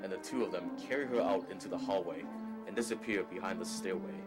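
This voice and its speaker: A man's voice: low-pitched, fast talker, over loud music. A man narrating an audio drama, reading scripted stage action in the present tense.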